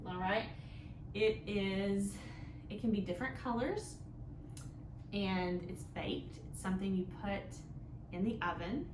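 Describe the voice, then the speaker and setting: A woman leads a storytime for small children at a slow pace, with a middle-range pitch. She speaks in simple, instructive phrases and checks in with questions. The room is echoey, with clear noise behind her. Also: lively intonation. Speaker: children's library storytime host. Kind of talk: interactive storytime for young children, with songs and guessing games.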